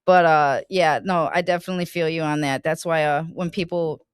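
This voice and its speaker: A woman hosting a casual podcast, talking in a relaxed, slangy way and laughing easily. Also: sits low, brisk, clean tone, no echo, clean studio sound. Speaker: podcast host.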